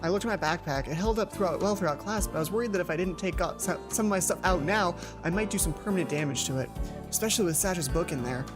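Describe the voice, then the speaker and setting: Game player reading aloud over loud music. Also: mid-range voice, quick pace. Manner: stumbles over the text, self-interrupting. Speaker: let's play host. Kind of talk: reading visual novel aloud with casual commentary.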